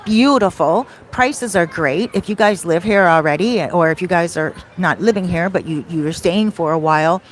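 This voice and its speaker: A woman's voice: fairly low pitch, moderate pace, hardly any pauses, slight background noise. A woman hosting a casual livestream Q&A, chatting conversationally and wandering off into asides. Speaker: livestream host answering viewer questions.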